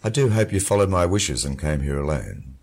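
An actor playing a cynical dead husband who mocks his widow in a warm, controlled, lilting voice. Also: very deep voice, sing-song, a little fast, gravelly, full character voice. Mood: mocking. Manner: sardonic, taunting. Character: mocking dead husband, middle-aged man, cynical.

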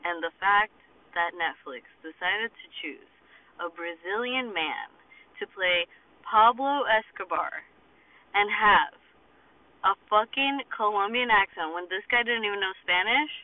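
A man's voice: high voice, animated, a little slow. A man hosting a podcast from his car, chatting casually and ranting animatedly, swearing freely. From Boston, United States. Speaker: podcast host. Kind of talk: casual chat. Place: parked car. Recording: tinny phone-like audio in a car.

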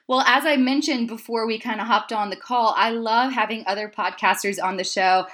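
A woman's voice: on the high side, steady tone, moderate pace, fairly bright tone. A woman hosting her podcast, asking questions with friendly enthusiasm. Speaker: podcast host.

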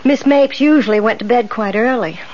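An actress playing an anxious, fretful rooming-house landlady with a breathy voice in a 1970s radio drama. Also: a little high, lightly acted. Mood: polite, uneasy. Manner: fluttery, halting, anxious. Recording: dull 1970s radio recording.

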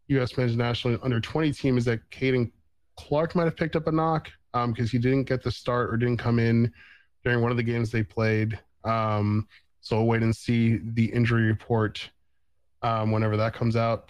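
A man's voice: medium pitch, smooth, no echo. A man hosting a casual sports podcast over an online call, rambling with many ums. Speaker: podcast host.

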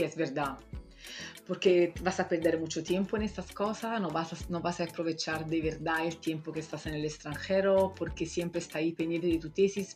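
A woman who learned Spanish as a second language, talking casually as a doctoral researcher and pausing often with fillers. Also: low-pitched. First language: not Spanish. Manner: hesitant, many fillers and restarts. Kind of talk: casual conversation.

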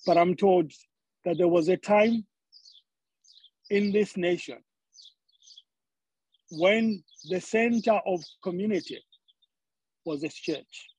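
High-voiced man preaching. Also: a little mellow, husky, dry recording, low-quality recording. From Zambia. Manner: halting, repeats words as he searches for phrasing. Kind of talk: sermon.

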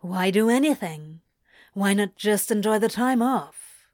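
A laid-back male demon, played by a woman, talks slowly in a medium-pitched voice. He is a teasing, coaxing tempter from a story, recorded close and dry. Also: lively intonation, a little mellow, dry recording, close to an everyday voice.

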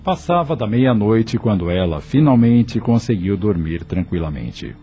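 Deep, expressive male radio drama narrator. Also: unhurried, music in the background.

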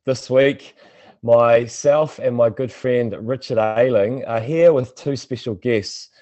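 A man's voice: medium pitch, slow pace, dark tone, no room sound. A man hosting a podcast interview in a casual, rambling way, often repeating words as he searches for the phrasing. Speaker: podcast host. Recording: dull lo-fi sound.

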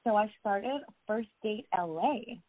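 A woman's voice: medium pitch, animated, takes it slowly. A young woman from Los Angeles, a podcast guest chatting casually with lots of fillers and restarts. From Los Angeles, USA. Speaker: podcast guest, social media creator. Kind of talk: casual interview chat. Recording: tinny phone-like sound.

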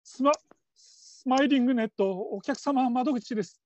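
A woman's voice: fairly high pitch, moderate pace, a little mellow, no echo, muffled recording, close to an everyday voice. A woman playing a nervous, humble call-centre trainee in her fifties in a radio drama, stammering and stiffly over-polite. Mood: flustered. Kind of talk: Japanese radio drama acting.

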